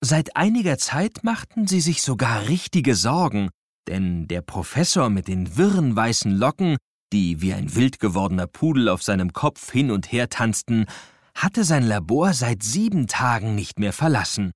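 A man's voice: mid-range voice, big pitch swings, moderate pace, dry recording, clean studio sound. A man narrating a scripted story for children in an audio play.

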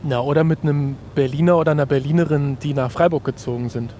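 Casual male podcast partner, soft-edged mellow tone, rapid delivery, slight street rumble. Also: steady tone. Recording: faint rumble of outdoor city traffic. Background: faint rumble, vehicles.

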